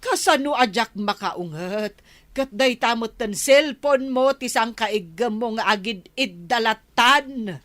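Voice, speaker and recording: An actress playing a sharp-tempered, bossy village wife who berates people, with an Ilocano accent, in a radio drama. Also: female voice, on the high side, animated, takes it slowly. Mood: scolding. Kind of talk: Filipino radio drama acting.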